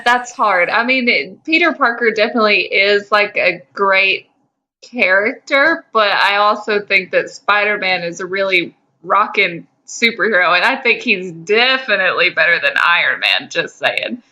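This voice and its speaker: Bright, unhurried woman chatting on a podcast. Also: mid-range voice, lively intonation, poor audio. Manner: informal, slangy, opinionated. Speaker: podcast co-host. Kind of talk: casual podcast banter about films and comics.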